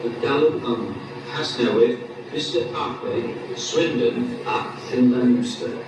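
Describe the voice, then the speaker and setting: Male station announcer, very echoey. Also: mid-range voice. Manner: formal, measured listing. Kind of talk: scripted public announcement. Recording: echoey, distant station PA with noise. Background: station ambience.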